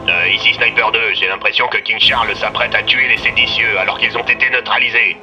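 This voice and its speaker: An uneasy male police sniper acted by a man calls in over the radio in an audio drama. The filtered voice is low-ish and extremely sharp, with faint music behind. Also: steady tone, a little fast, rough recording.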